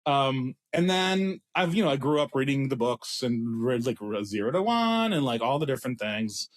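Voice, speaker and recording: A man's voice: medium pitch, big pitch swings, normal speed. A man in his thirties with an Israeli accent, talking casually as a startup founder in an interview, rambling and self-deprecating with frequent restarts. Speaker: startup CEO guest.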